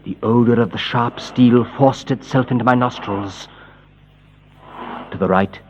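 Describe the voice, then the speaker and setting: An actor playing a condemned captain tormented by dread, speaking in a halting, literary way in the style of old radio horror. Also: male voice, medium pitch, a little mellow, lightly acted. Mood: haunted, brooding. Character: condemned male captain, tormented prisoner narrating his dread. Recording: muffled, noisy old radio recording.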